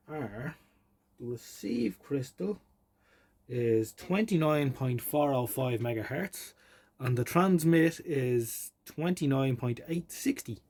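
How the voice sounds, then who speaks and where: An Irish man giving casual, unhurried hands-on commentary, muttering and thinking aloud as he tinkers, recorded on a phone. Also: mid-range voice, a little mellow. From Ireland. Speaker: vintage electronics hobbyist presenting. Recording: clearly noisy phone recording.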